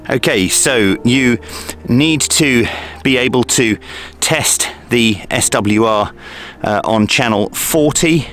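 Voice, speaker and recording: A man's voice: medium pitch, slow pace, fairly bright tone, soft background music. A middle-aged man presenting a casual hobby tutorial, explaining step by step in a hesitant way.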